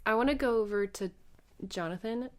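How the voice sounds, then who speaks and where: A woman's voice: fairly high pitch, brisk. A woman playing a tabletop game, chatting casually and roleplaying her character.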